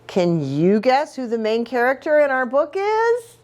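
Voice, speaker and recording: A woman's voice: high voice, huge pitch swings, a little slow, darkish tone. A woman reading a picture book aloud to children in a playful, exaggerated, sing-song way. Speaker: children's ministry pastor reading a storybook.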